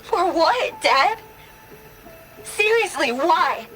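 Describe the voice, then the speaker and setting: A woman's voice: extremely high pitch, sing-song, drawn-out words, fairly bright tone, faint music behind, heavily acted. A woman playing a distraught young daughter in a game, accusing and emotional. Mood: accusing, desperate. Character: distraught young daughter confronting her father. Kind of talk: dramatic game cutscene acting.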